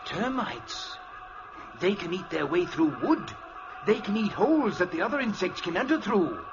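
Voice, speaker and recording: An actor playing an obsessed, fearful professor in an old-time radio drama, with grave, intense, theatrical delivery. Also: male voice, sits high, very animated, normal speed, music in the background. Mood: urgent. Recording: muffled, noisy vintage radio recording.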